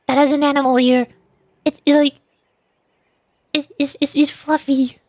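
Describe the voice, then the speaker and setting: A woman livestreaming a game with casual, excitable, playful chatter. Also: high voice, normal speed, dry recording, studio quality. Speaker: livestreamer, VTuber. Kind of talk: casual gameplay stream chat.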